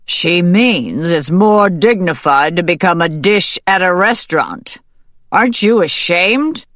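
A woman voicing a proud, stern rooster who speaks in a pompous, deliberate way. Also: on the low side, animated, a bit bright, no echo, clean studio sound. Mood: indignant.

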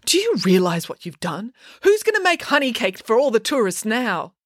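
A woman's voice: fairly high pitch, sing-song, moderate pace, dry recording, studio quality, voice pushed up. A woman voicing a pompous small-town mayor who scolds in formal, declaratory phrases. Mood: scolding.

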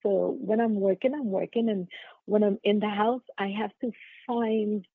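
Podcast guest: Trinidadian woman, creaky voice, conversational storyteller, thin dry phone-quality audio. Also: mid-range voice, steady tone, normal speed, no room sound. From Trinidad and Tobago. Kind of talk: conversational interview answers, personal storytelling. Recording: narrow phone-like sound, dry.

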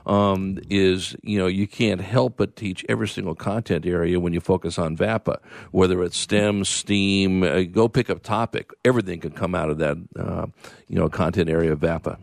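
Low gravelly male radio co-host. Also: fairly even intonation, moderate pace, no echo, clean studio sound. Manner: brief affirmations, rambling.

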